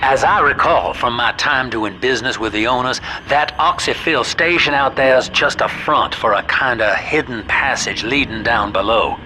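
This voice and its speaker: A man's voice: mid-range voice, moderate pace, hardly any pauses, noisy background, close to an everyday voice. An actor playing a folksy, fatherly, plain-spoken guide who gives advice to the hero of a video game. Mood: confiding. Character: folksy male ally and guide, paternal, plain-spoken, shrewd.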